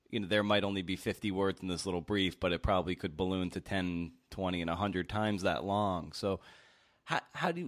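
A man with a deep voice interviews a guest as a podcast host. His questions are casual and informal, and he circles his way into them.

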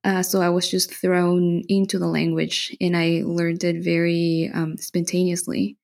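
A woman from Bolivia, a podcast guest, talking in a relaxed, chatty way. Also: fairly low pitch, unhurried, creaky. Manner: relaxed, chatty, with frequent fillers and soft laughs. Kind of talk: casual interview chat.

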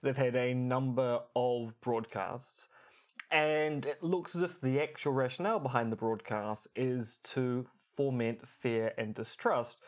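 A man speaks as a podcast co-host in an explanatory, conversational style. He is articulate and wry, setting out points and posing rhetorical questions. His pitch sits in an average male range.

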